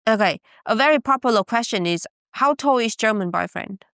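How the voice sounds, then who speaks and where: A woman's voice: a little high, fairly quick, dry recording, studio quality. Playful Vietnamese woman host. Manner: playful, teasing, lively. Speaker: podcast host. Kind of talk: casual chat.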